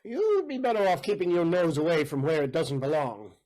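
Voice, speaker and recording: A male actor plays an imperious, jealous nobleman in a period stage comedy. The delivery is haughty and theatrical. The pitch moves widely from phrase to phrase. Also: sing-song, fairly quick, darkish tone, low-quality recording. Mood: stern. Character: jealous, imperious count, suspicious of his servant. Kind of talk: comic period stage acting.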